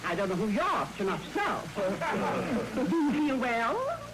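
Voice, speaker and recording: A woman's voice: on the high side, very animated, normal speed. A woman playing a gushing, giggly society lady in an old radio comedy. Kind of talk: 1940s radio comedy acting. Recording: crackly old radio recording with loud noise.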